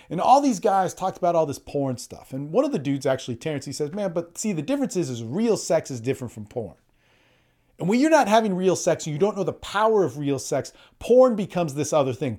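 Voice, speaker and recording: A man's voice: a little high, darkish tone. A man giving an informal motivational coaching talk in an emphatic, blunt way, swearing freely and repeating phrases to drive points home.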